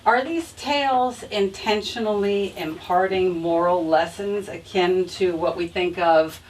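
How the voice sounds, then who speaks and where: A woman's voice: on the low side, unhurried. A woman hosting a bookstore author event, delivering prepared introductions and questions in formal, flowery phrases. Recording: distant mic, faint room noise.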